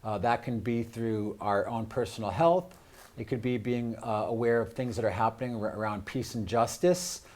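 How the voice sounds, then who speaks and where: A man's voice: medium pitch, moderate pace, a little mellow. An American man hosting a casual studio interview, talking in a rambling, hesitant way. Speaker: show host. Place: live studio.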